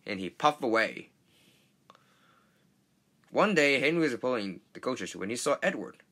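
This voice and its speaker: A man narrating a children's storybook read-along in a halting, stumbling way. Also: medium pitch, big pitch swings, fairly bright tone.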